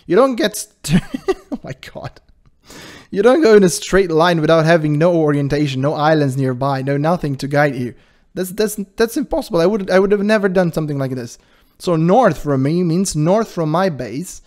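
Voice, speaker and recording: High-voiced man, defensive and animated. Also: moderate pace. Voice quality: high-pitched voice. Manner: animated, defensive, laughs often. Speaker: channel host reacting to a video. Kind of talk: casual commentary.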